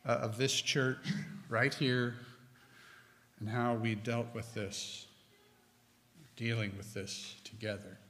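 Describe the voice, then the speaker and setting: Slow, bright-voiced preacher in a reverberant sanctuary. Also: male voice, mid-range voice, flat delivery. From United States. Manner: slow, deliberate delivery with emphatic pauses. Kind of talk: sermon. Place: church sanctuary with stone wall, at a pulpit. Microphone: pulpit microphone. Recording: long hall reverb.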